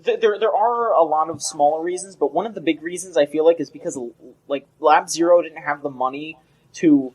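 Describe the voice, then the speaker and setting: A man's voice: a little high, brisk, a little mellow, breathy edge, poor audio. A young man co-hosting a casual gaming podcast, rambling and often restarting his sentences. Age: young adult. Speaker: podcast co-host.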